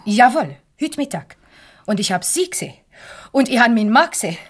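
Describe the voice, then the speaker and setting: Radio comedy role: haughty wronged wife, high voice, French accent, imperious, groping for words. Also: female voice, sing-song, breathy edge. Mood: accusing. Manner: imperious, halting, searching for words. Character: jealous, indignant boss's wife, haughty and wounded. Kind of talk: Swiss comedy radio play acting.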